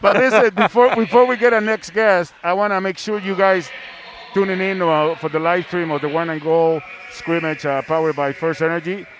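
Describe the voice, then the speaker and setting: Male basketball broadcast host, high-pitched, chatty and casual, slight venue background noise. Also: steady tone, moderate pace, a bit bright. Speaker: host of a basketball live stream. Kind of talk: casual sports broadcast chat.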